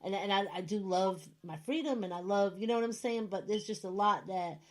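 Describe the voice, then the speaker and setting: A chatty woman hosting a radio interview, talking in a loose, rambling way with a northern US accent. Her voice sits in a middle pitch, and she often checks in with her listener. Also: brisk. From northern United States. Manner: chatty, rambling, frequent "you know what I mean". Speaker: radio show host. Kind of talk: casual interview chat.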